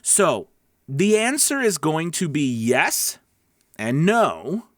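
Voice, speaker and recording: A man's voice: fairly high pitch, very animated, slow, no room sound. Man hosting an advice vlog, dry sound. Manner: conversational, emphatic, checks in with "right?" and "you know". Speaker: host of a voice-over advice show. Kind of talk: instructional vlog talk to viewers.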